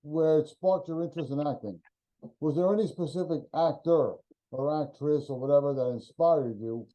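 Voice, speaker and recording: A man interviewing a guest, asking hesitant, halting questions in a casual chat. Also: on the high side, dark tone. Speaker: interviewer host. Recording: dull lo-fi sound.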